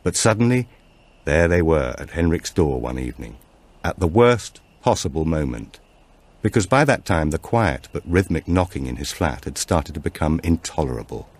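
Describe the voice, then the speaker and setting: Deep-voiced man narrating a thriller. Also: expressive, normal speed, a little mellow. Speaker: audiobook narrator. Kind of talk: scripted narration of a supernatural thriller.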